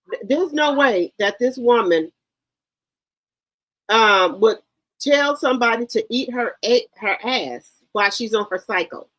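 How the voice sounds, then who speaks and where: A woman's voice: a little high, a bit bright, rough recording. A woman in her fifties hosting a gossip livestream, chatting straight to her viewers. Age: 50s. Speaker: livestream host.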